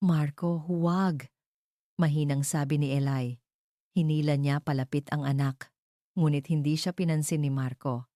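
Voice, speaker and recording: A woman with a low, mellow voice tells a romantic drama from a script. Her phrasing is measured and sentimental. The recording is very dry, with no room sound. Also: level tone, no room sound. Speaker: story narrator.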